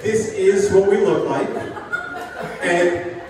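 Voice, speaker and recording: A man's voice: high voice, takes it slowly, a crowd in the background. An older man from New Jersey doing a stand-up set in a sing-songy voice that makes statements sound like questions. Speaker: stand-up comedian. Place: live venue with an audience. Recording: echoey hall recording from far off. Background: audience laughter.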